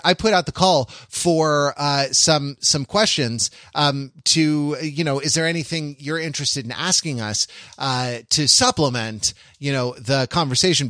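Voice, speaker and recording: A man hosting a podcast in casual conversation, wordy and halting, often chuckling as he talks. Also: fairly high pitch, fairly bright tone, no room sound, clean studio sound. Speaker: podcast host.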